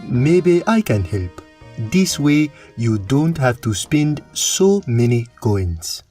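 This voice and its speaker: A man voicing a kindly tavern cook for a fantasy radio play. He is jolly and humble, earnest in every offer, with an animated voice over light music. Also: medium pitch, slow pace. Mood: helpful. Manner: earnest, eager to help. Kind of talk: audio drama fantasy acting.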